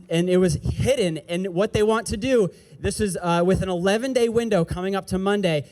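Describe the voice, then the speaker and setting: A man's voice: high voice, a little mellow. A man giving an impassioned speech at an outdoor rally as an activist organizer, angry and emphatic, repeating slogans.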